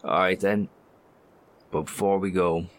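An actor playing a cautious, worried male professor in a horror audio drama, speaking in a measured, tentative and earnest way. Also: sits low, fairly even intonation. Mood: groggy, tentative.

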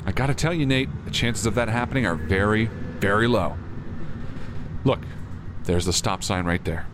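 A man's voice: lowish voice, normal speed, close to an everyday voice. A man playing an easygoing, skeptical but supportive police officer in conversational audio drama dialogue. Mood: wry, teasing. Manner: wry, reassuring, conversational. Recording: clear car and road noise. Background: moving car ambience.

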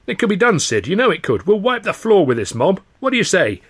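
A bold, eager trouper, played by a man reading aloud in an audiobook tale. He is urgent and persuasive, exclaiming well above an everyday talking pitch. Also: very animated, brisk, a bit bright, breathy edge, full character voice. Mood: eager, rallying.

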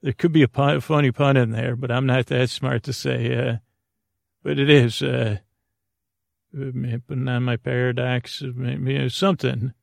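Creaky-voiced man rambling through a bedtime podcast. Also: medium pitch, flat delivery, dry recording, clean studio sound. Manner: meandering, halting, absent-minded asides; soothing, lulling. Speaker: host of a bedtime sleep podcast.

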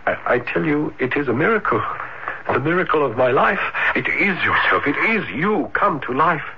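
An actor playing a shy, ardent young dreamer in a 1970s radio drama, hesitant and breathless with florid romantic phrasing. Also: male voice, fairly high pitch, big pitch swings, moderate pace. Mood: yearning. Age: young adult. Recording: muffled noisy old radio broadcast.

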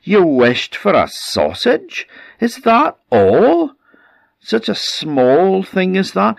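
A man's voice: low voice, animated, moderate pace, breathy edge, exaggerated voice. A man voicing a hot-tempered old peasant husband in a folk tale, scolding and blustering. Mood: furious.

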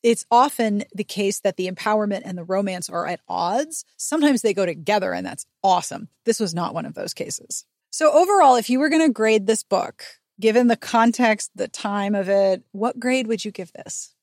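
A woman's voice: medium pitch, animated, moderate pace, vocal fry, few pauses, a little mellow, no echo, studio quality. A woman hosting a podcast in a casual book recap chat, speaking in an opinionated, emphatic, slangy way. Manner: opinionated, emphatic, slangy, acts out imagined quotes. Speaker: podcast host.

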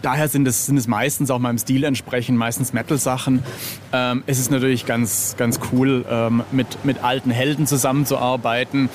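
A man's voice: medium pitch, flat delivery, normal speed, slight background noise. A middle-aged man chatting casually as an interview guest, hesitant and self-ironic, often searching for his words. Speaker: interview guest, illustrator of record covers.

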